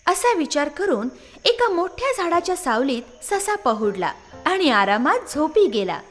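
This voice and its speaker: A woman's voice: sits high, sing-song, measured pace, soft background music. A woman narrating scripted moral stories for children as a storyteller.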